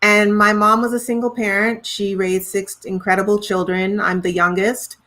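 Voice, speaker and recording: A woman's voice: medium pitch, level tone, normal speed, fairly bright tone. Trinidadian woman, earnest and reflective. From Trinidad and Tobago. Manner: earnest, reflective, frequent restarts. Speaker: guest, professional singer. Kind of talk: conversational interview.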